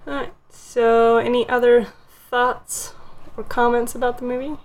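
A woman's voice: on the high side, level tone, a little slow, low-quality recording. A woman hosting a casual group discussion, guiding it along in conversational speech. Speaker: podcast host leading a film discussion.

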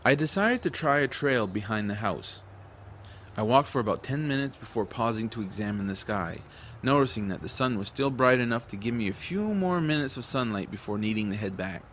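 A man's voice: mid-range voice, animated, a little fast, fairly bright tone, a little hiss or hum, lightly acted. A man playing an adventurous, skeptical young boy in an audiobook drama, telling his story expressively. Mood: reflective narrating. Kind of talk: audiobook first-person narration and acted dialogue.

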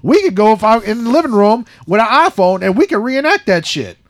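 A man in casual podcast banter, emphatic and quick to swear. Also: high voice, big pitch swings, no room sound, studio quality. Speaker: podcast co-host.

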